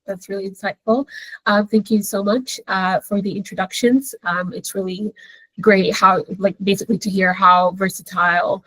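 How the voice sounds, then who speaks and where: Brief: friendly, rambling woman moderator on a video call, flat delivery. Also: mid-range voice, normal speed, no room sound, rough recording. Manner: friendly, filler-heavy, meandering. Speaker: webinar moderator. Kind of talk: hosting a panel webinar.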